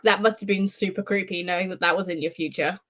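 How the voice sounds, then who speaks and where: A woman's voice: fairly low pitch, fairly even intonation, fast talker, a bit bright. Wry, sweary woman chatting about books. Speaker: book readalong panellist. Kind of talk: casual book discussion, live.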